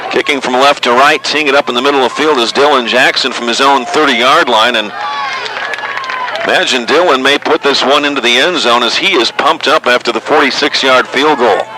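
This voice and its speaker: A man calling live sports on radio as play-by-play announcer, given to excited shouting on big plays. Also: mid-range voice. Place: broadcast position at outdoor sports stadium. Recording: crowd and outdoor noise behind voice. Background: crowd noise.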